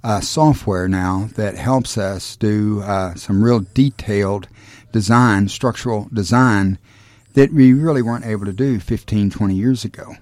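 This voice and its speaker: A man giving a measured technical explanation in an interview, stressing the figures. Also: fairly low pitch, a little mellow, no room sound. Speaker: aircraft designer being interviewed.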